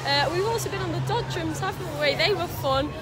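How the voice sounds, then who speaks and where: Girl, late teens, high voice, slightly hoarse, eager theme-park vlogger on a phone mic. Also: fairly quick. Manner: chatty, enthusiastic, asks companion questions. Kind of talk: casual vlog commentary. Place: outdoor theme park, queues and walkways. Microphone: phone camera held at arm's length. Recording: loud music and crowd noise at a theme park.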